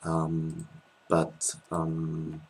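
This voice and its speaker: A man in his twenties with a French accent, chatting casually as a guest, hesitant with many pauses and restarts, recorded on a laptop webcam in a small room. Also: rumbling low, monotone, creaky, darkish tone, slight background noise. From France. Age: 20s. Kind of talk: casual interview chat.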